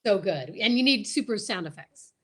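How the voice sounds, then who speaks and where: A woman's voice: medium pitch, expressive, normal speed. A woman hosting a casual live chat, speaking in an enthusiastic, emphatic way and often repeating words for stress. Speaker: host of a radio drama group's live chat.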